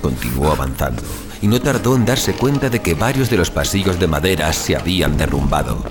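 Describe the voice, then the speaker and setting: A man's voice: low voice, animated, brisk. A man narrating a scripted suspense horror story as an audio fiction narrator. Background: music.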